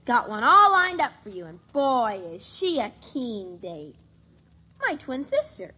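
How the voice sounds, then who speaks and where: A woman playing an excitable, headstrong young tomboy girl in a 1950s radio sitcom, exuberant and a little bratty. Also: high voice, unhurried, husky, exaggerated voice. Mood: eager, scheming. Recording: muffled old 1950s radio recording.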